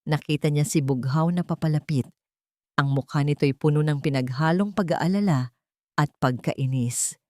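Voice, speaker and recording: Low-voiced woman narrating drama, dry sound. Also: moderate pace, warm tone, no echo. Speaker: storyteller, narrator. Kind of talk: scripted dramatic story narration.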